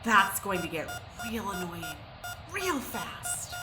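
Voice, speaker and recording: An actress playing a sarcastic, foul-mouthed escaped prisoner in an audio drama, defiant and biting. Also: female voice, mid-range voice, very animated, takes it slowly, reverberant, loud music behind the voice. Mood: wary, irritated.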